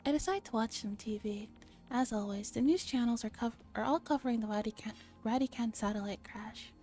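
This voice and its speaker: A woman streamer softly reading a visual novel aloud in an ASMR style, with gentle, casual asides to her chat. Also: fairly high pitch, brisk. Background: music.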